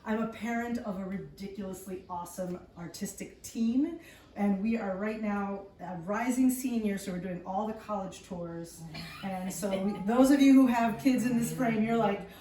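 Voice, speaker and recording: A woman's voice: mid-range voice, moderate pace, dark tone. Woman presenter, enthusiastic, laughs a lot, recorded from afar with light noise. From United States. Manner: bubbly and enthusiastic, laughing often. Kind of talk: speaking to a live group. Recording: roomy, distant mic, some noise.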